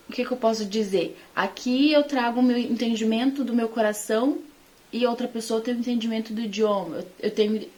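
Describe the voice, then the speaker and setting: A woman's voice: fairly high pitch, mellow. A Brazilian woman teaching the Bible informally to online followers, conversational and emphatic in her delivery.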